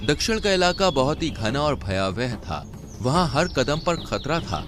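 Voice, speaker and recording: A man's voice: on the high side, sing-song, a little slow, over music. A man narrating an animal fable in a dramatic storytelling style.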